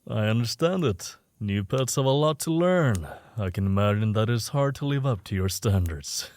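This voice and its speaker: A man's voice: lowish voice, animated, moderate pace, darkish tone, lightly acted. A man playing a courteous, self-assured underworld informant in an audio roleplay, talking in a polite, formal way. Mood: suave, composed.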